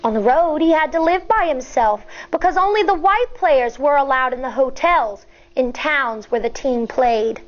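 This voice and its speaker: High-pitched woman reading aloud to children. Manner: expressive, deliberate storytelling with explanatory asides. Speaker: elementary school teacher. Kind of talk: children's read-aloud with teaching asides. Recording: dull, lo-fi sound.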